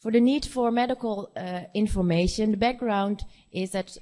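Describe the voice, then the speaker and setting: A woman's voice: medium pitch, mellow. Hesitant Dutch-accented woman presenting. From Netherlands. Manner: hesitant, halting speech with frequent fillers. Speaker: nurse practitioner presenting research. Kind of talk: conference presentation.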